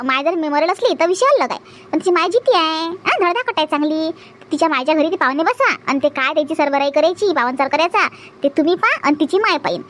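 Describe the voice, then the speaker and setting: A woman playing a stubborn, sharp-tongued village wife in a cartoon comedy, scolding defiantly with a Varhadi Marathi accent. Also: high voice.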